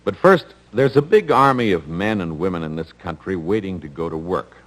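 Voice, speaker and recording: A man's voice: low-pitched, expressive, normal speed, poor audio. An American man hosting a radio drama, reading scripted narration in a 1950s announcer style.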